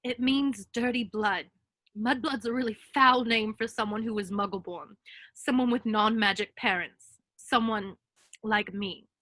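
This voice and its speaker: A woman's voice: on the high side, unhurried, bright, forceful tone, no echo. A woman playing a clever, earnest schoolgirl witch in an amateur table read, articulate and a little prim. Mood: hurt, earnest.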